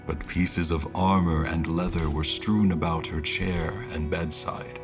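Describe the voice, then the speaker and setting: A man plays a wry adventurer recounting his memories in a fantasy audio drama. His voice is low, his telling ornate and literary. Music runs clearly behind him. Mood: admiring. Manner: ornate, descriptive storytelling. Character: male crew member narrating his memories, literary and wry.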